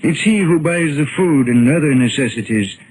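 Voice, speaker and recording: An actor playing a secretive, courtly old gentleman recluse in 1940s radio drama, formal, polite and measured. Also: a little high, expressive, slight background noise, close to an everyday voice, rough recording. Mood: confiding, ashamed.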